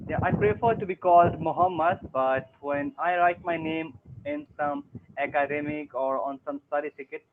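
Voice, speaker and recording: A man's voice: a little high, normal speed, slight background noise. A young man who is learning English, talking hesitantly with many fillers on an online tutoring call. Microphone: video call. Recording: tinny phone-like call audio.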